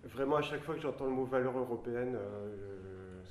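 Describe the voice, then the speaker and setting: A man's voice: mid-range voice, normal speed, a little hiss or hum. A man from France speaking in a public discussion, hesitant and searching for his words. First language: French. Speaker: panelist. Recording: roomy hall sound.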